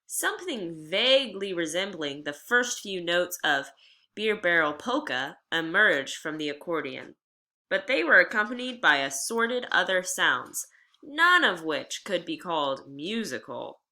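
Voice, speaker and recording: A woman reading a children's memoir aloud like a teacher, with expressive, deliberate storytelling delivery. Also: fairly low pitch.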